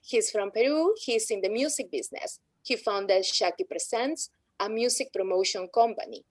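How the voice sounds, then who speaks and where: A woman's voice: mid-range voice, no echo, poor audio. A woman moderating a panel, reading prepared introductions of the guests with occasional slips.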